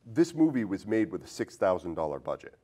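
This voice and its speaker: A man with a fairly low voice hosts a relaxed, conversational film podcast. He thinks out loud as he talks and often frames his points as questions. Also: brisk, husky. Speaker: podcast host. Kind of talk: casual film discussion.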